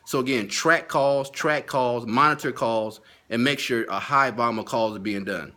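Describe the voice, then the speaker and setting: A man's voice: mid-range voice, moderate pace, sharp tone. A man speaking as a sales training consultant in an informal video lesson, stressing and repeating his key points. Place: at home.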